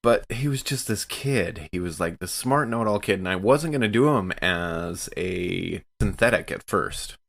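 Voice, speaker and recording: Male podcast player with a low-pitched voice, informal conversational delivery. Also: lively intonation, normal speed, creaky, few pauses, fairly bright tone. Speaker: actual play podcast cast member. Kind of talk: casual chat.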